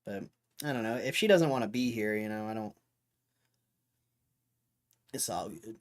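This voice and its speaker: A hoarse young man hosting a livestream in a loose, rambling way, talking to his chat and often clearing his throat. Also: lowish voice, lively intonation, normal speed. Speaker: livestream host.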